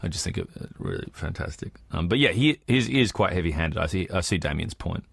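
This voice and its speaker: A man with a low, deep voice talks casually as a podcast co-host. His delivery is conversational and informal. The sound is dry, with no room echo. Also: expressive, fast, creaky, husky. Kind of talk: casual chat.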